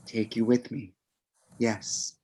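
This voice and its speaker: A man playing a tormented, unstable killer, halting and menacing, in an amateur screenplay read over a video call. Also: mid-range voice, little pitch movement, mellow. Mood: grim menace. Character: tormented, unstable male killer pleading with captive lover. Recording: home video-call audio.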